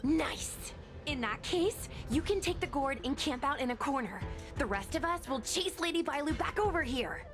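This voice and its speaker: A woman's voice: high voice, expressive, moderate pace. An actress playing a blunt, impatient young woman adventurer in a game cutscene. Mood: brisk. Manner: blunt, impatient, matter-of-fact. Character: brash young woman adventurer planning a trap. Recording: voice over game music.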